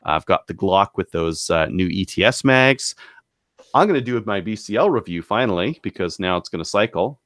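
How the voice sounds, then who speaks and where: A man with a deep voice talks casually as a podcast co-host, recorded close and dry. He speaks haltingly, stopping to gather his thoughts as he goes. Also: lively intonation, a bit bright, no room sound. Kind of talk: casual hobby podcast chat.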